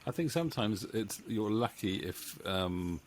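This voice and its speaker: A middle-aged British man with a low voice talks in a casual interview. He is hesitant and self-deprecating, speaking close to the mic with faint noise behind him. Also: expressive, a little slow. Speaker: audio drama writer and director. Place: home room with bookshelves. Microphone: studio mic on boom arm, close.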